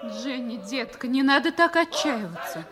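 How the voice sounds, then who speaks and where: Middle-aged mother, fairly high, consoling, vintage radio drama over loud music. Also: sing-song, moderate pace. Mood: concerned. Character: soothing, concerned middle-aged mother of a grown daughter. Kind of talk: Soviet radio play acting.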